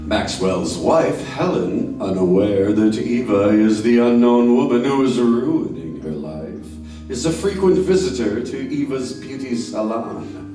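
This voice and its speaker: A man's voice: medium pitch, sing-song, a little slow, warm tone. A man announcing and narrating an old-time radio play from a script on stage. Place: theater stage, recorded from the audience. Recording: very echoey theater, distant mic. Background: music.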